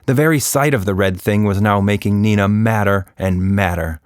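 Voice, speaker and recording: A man's voice: lowish voice, normal speed, clear, resonant tone, dry recording, clean studio sound. A man narrating a scripted children's story as a podcast storyteller.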